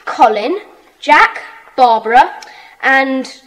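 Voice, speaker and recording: A woman's voice: sits high, animated, fairly bright tone, exaggerated voice, poor audio. A woman playing a bossy, eager schoolboy who leads a secret society, in a children's audiobook drama. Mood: earnest, organising. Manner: bossy, earnest, brisk.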